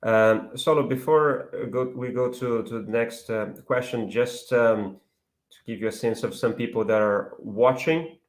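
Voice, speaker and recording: A man hosting a live online interview, asking his questions in a halting way with many restarts. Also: medium pitch, steady tone. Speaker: interview host. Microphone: video call.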